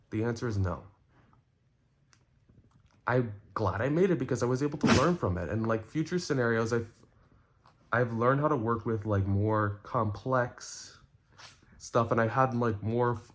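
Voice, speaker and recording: A man who creates online content, talking casually and earnestly to his viewers in a halting way, repeating phrases for emphasis. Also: mid-range voice, a bit bright. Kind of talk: casual explanatory rant to viewers.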